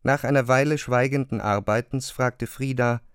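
Guy narrating a novel for radio, medium pitch, super dry studio sound. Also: fairly even intonation, normal speed, clear, resonant tone, dry recording. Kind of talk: scripted literary narration.

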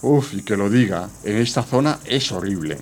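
A man's voice: on the low side, animated, normal speed. A man playing a stranded janitor in an audio drama, deferential, confused and given to grumbling. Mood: chatty. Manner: deferential, complaining. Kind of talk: amateur audio drama acting.

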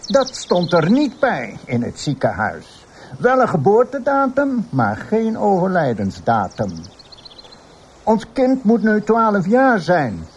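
An actor playing a menacing, insistent former lover with a taunting manner in a radio drama. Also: male voice, high-pitched, huge pitch swings, slow pace, a little hiss or hum, heavily acted. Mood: insistent, accusing. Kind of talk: Dutch radio drama acting. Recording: faint outdoor ambience with birdsong. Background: birdsong.

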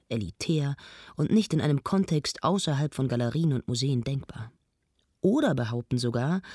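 An actress playing an earnest arts presenter, narrating a scripted mock documentary in a measured, formal, literary manner. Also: female voice, very deep voice, a little mellow, husky, no echo, studio quality, full character voice. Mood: measured, serious.

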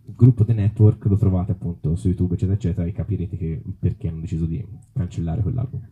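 A man's voice: low-pitched, fairly even intonation, fast talker, warm tone, breathy edge, dull audio. A man speaking informally and reflectively as an author presenting his book at a live event.

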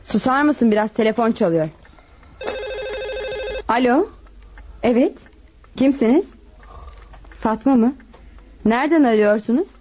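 A woman's voice: on the high side, lively intonation, measured pace, pauses often, darkish tone. An actress in a radio drama playing a bossy middle-aged wife and proud mother, scolding and impatient. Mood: brisk. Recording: dull lo-fi radio recording.

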